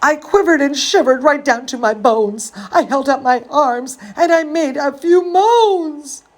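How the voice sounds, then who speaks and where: A woman's voice: high voice, expressive, measured pace, voice pushed up. A woman voicing an earnest young zombie girl ballerina who tells her own storybook tale with theatrical, stretched-out words. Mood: dramatic, nervous.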